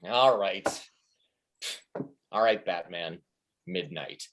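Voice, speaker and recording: A man's voice: low voice, slow, a bit bright, dull audio. A man playing a stubborn, melodramatic, hot-headed schemer in a comedic stage play reading, with emphatic theatrical delivery. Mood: melodramatic, grudging. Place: indoors, remote video call.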